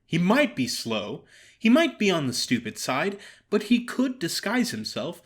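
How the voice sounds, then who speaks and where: A man narrating a story in a theatrical, formal and expressive way, as an actor performing an audio drama. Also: high voice, unhurried.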